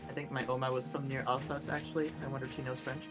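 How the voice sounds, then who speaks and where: A man streaming a video game, talking casually with his co-host. He jokes and pokes fun at himself. Game music plays clearly under his voice. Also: mid-range voice, little pitch movement, racing. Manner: joking, self-deprecating, laughs often. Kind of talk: casual gaming chat.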